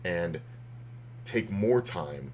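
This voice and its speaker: Deep-voiced, slightly hoarse man streamer. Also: lively intonation, very slow, a little mellow, slight background noise. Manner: rambling, loose, with many fillers and restarts. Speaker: poker streamer. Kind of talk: casual commentary on poker hands.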